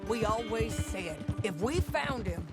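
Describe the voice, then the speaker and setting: A woman's voice: on the high side, animated, a little slow. An actress playing a tough, folksy woman bounty hunter with an American Southern drawl in a western game. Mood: serious. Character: tough, hard-drinking woman bounty hunter, old outlaw friend. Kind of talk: cinematic western game acting. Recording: music behind the voice.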